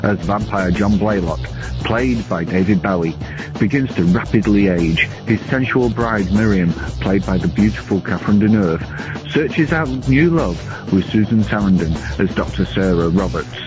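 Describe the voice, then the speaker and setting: A man's voice: lowish voice, moderate pace. A man reading a scripted film countdown as a guest reviewer. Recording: dull lo-fi recording under music. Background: music.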